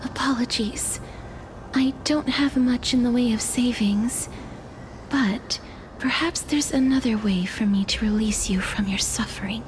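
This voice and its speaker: A woman voicing a gentle, solemn young guide of souls in a video game, with soft, formal, measured speech. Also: a little high, noisy background, natural voice. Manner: soft, measured, formal, breathy phrase endings. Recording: background music under the voice.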